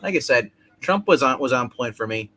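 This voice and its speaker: Bright-voiced man, casual and opinionated. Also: mid-range voice, lively intonation, a little fast. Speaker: livestream co-host or guest. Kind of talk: casual political chat.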